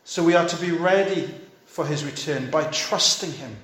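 A man's voice: fairly high pitch, normal speed, fairly bright tone. Echoey preaching man of fifty. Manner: earnest exhortation in short repeated phrases. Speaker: pastor. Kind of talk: sermon.

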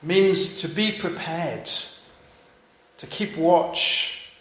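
A man's voice: a little high, very slow, a bit bright, roomy sound. A fifty-year-old male pastor preaching a sermon, urging his listeners on in short, repeated, earnest phrases.

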